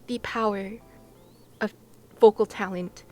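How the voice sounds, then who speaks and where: A woman's voice: medium pitch, fairly even intonation, takes it slowly, warm tone. A woman livestreaming and chatting casually with her viewers, giggly and playful, with gushing exclamations and sing-song asides. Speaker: live streamer.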